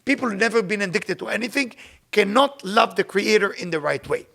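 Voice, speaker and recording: A man's voice: high-pitched, expressive, gravelly, sharp tone. A man with an Israeli accent giving an informal spiritual lesson, telling stories and repeating phrases for emphasis, racing through passages when he reads them aloud. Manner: rapid recitation when reading Hebrew text. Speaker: Kabbalah teacher. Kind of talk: informal spoken teaching with storytelling.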